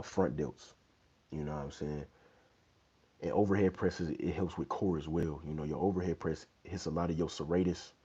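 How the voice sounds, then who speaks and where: A man's voice: a bass voice, warm tone, slightly rough. A young man in his twenties hosting a casual fitness livestream from a small bedroom, answering questions in a laid-back, slangy way through an earbud mic. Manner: laid-back, loose slang, many "you know what I'm saying". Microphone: wired earbud mic, phone held low. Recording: dull lo-fi phone audio.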